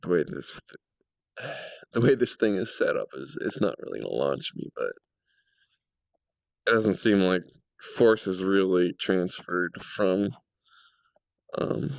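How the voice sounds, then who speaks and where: A man with a low, gravelly voice, a little raspy from a cold. He rambles and thinks aloud with plenty of fillers while he tests things in a game. The sound is dry and a bit dull, from a home mic. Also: expressive, slow pace, pauses often, dry recording. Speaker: game world creator. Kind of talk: casual gameplay commentary.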